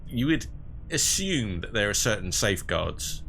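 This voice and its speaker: A man running a tabletop game as game master, explaining rules and scenes in a measured way. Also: on the low side, sing-song, vocal fry, fairly bright tone, a little hiss or hum.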